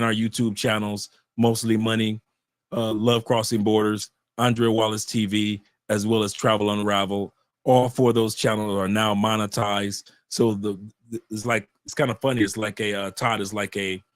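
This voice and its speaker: Animated man telling stories, dry sound. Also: on the low side, no room sound. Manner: animated storyteller, repeats phrases, frequent restarts. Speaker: guest, YouTube channel creator and author. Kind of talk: casual conversational interview. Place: home in Colombia.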